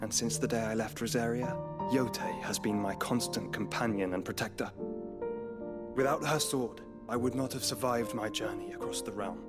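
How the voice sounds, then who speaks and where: An actor playing a refined young nobleman in a fantasy game, gentle and dutiful, speaking in formal, measured phrases. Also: fairly low pitch, steady tone, loud music behind the voice, close to an everyday voice. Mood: calm, formal. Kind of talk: dramatic fantasy game acting.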